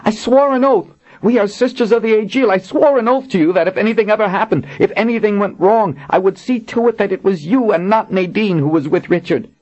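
A man's voice: high voice, a little fast, hardly any pauses, darkish tone, voice pushed up. A man voicing a fierce young warrior woman. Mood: pleading. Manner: intense, urgent, accusing. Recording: dull lo-fi audiobook recording.

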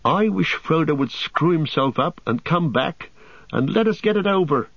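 A man voicing a young, impatient and excitable hobbit in an urgent, exclamatory way, for a storybook. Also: on the high side, normal speed, vocal fry, no echo, dull audio, pitched above the performer's own voice.

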